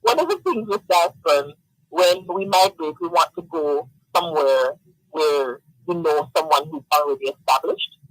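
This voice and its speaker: A man with a notably high voice that swings widely as he talks. He chats as a radio guest, laughing often and tumbling over repeated words. The sound is close and dry. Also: a bit bright, no room sound, poor audio. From Jamaica. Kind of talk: casual radio interview.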